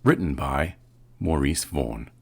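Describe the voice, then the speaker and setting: A man announcing the opening and closing of a recorded script in a slow, deliberate way. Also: very deep voice, lively intonation, vocal fry, very noisy. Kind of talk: scripted intro and outro.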